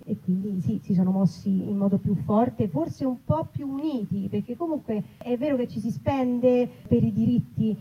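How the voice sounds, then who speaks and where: A woman's voice: medium pitch, slightly rough. A woman speaking conversationally and reflectively in an interview, building her thoughts in short phrases. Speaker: writer and actress, interviewee. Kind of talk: casual spoken interview before an audience. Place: live festival talk in an olive grove. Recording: muffled, noisy live event recording.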